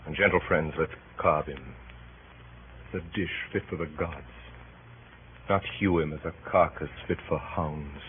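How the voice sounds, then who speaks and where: An actor playing a grave, idealistic Roman conspirator in a vintage radio Shakespeare production, speaking in measured oratory. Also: male voice, a bass voice. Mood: solemn, regretful. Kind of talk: 1930s-40s radio Shakespeare acting. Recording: noisy, narrow-band old radio recording.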